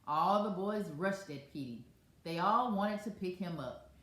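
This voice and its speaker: A woman reading a children's picture book aloud as an expressive storyteller. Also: fairly low pitch, unhurried. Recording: echoey room.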